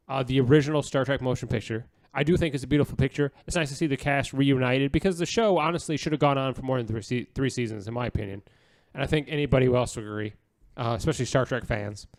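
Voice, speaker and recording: A man's voice: mid-range voice, fast talker, dry recording. A man hosting a podcast in a casual, conversational panel-chat style. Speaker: podcast host.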